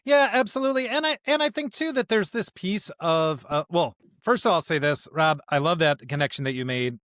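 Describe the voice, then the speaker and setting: A man's voice: a little high, lively intonation, a little fast, a bit bright, dry recording, clean studio sound. A man co-hosting a podcast with conversational theological commentary, stressing his points with asides and rhetorical questions. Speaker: podcast host.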